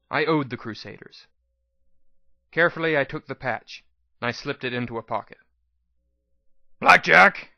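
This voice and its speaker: A man's voice: mid-range voice, no room sound, dull audio. A man reading a scripted first-person story aloud as a dramatic audiobook narration. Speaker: audiobook reader.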